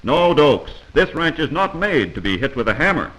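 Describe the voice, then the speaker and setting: Man, American accent, 1940s instructional narrator, animated intonation, crisp bright tone, didactic, admonishing, old film soundtrack. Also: a little high, normal speed, rough recording.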